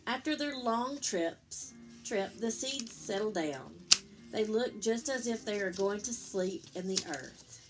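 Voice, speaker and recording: A woman reading a picture book aloud to young children in an expressive, explanatory teacher's manner. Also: mid-range voice, noisy background. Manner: expressive, pausing to explain and pose simple questions. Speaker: storytime reader, teacher.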